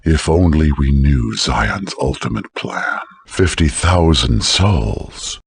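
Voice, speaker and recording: A man's voice: rumbling low, animated, slow, vocal fry, breathy edge, dry recording, exaggerated voice. A man voicing a grave, wise old lord in a fantasy game, speaking in a solemn, formal manner.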